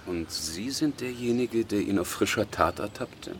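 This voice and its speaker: An actor playing a dry, impatient chief inspector in a radio crime drama, curt and sardonic. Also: male voice, lowish voice, lively intonation, unhurried, a crowd in the background. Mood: stern, probing.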